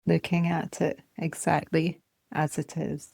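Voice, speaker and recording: A woman guides a body scan meditation in a lowish voice with a noticeable creak. She is calm and gentle, placing short, measured phrases one at a time. The sound is close and dry, with no room around it. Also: no echo. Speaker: meditation teacher.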